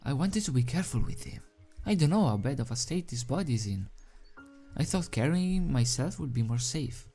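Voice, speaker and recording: A man voicing a caring, worried young female unicorn pony in a storybook reading, soft-spoken and anxious. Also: medium pitch, lively intonation, a little fast, soft background music, lightly acted. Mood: soft, careful.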